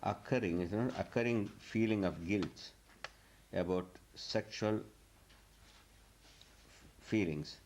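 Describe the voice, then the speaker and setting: Halting, low-voiced spiritual teacher. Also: male voice, a little mellow, slight background noise. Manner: halting, repetitive phrasing. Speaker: spiritual teacher answering questions. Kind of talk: question and answer session.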